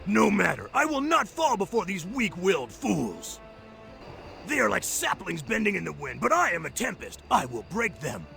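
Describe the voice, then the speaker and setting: An actor playing a grandiose, vengeful warlord in a game cutscene, theatrical and boastful, with score behind. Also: male voice, high-pitched, huge pitch swings, normal speed, creaky, pushed and bright, faint music behind, full character voice.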